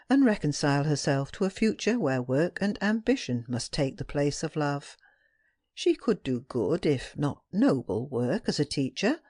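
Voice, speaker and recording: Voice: mid-range voice, a little mellow, no echo, studio quality. An audiobook narrator reading classic fiction expressively, with tender, dramatic storytelling.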